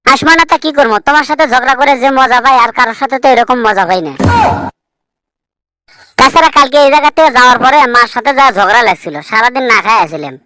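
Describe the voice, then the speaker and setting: A woman's voice: sits high, expressive, fast, pushed and bright, no room sound. A woman playing a nagging, defiant young village wife in a comic cartoon, speaking with a northern Bangladeshi accent. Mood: grumbling, explaining. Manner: insistent, complaining, scolding.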